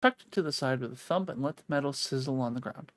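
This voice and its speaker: An expressive, excitable streamer reading a visual novel aloud in a dramatic way, with casual sweary reactions. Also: mid-range voice, fast, dry recording.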